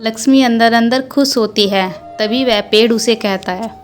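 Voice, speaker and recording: A woman's voice: on the high side, normal speed. A woman reading scripted storybook narration for a moral cartoon story. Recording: music under the voice. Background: background music.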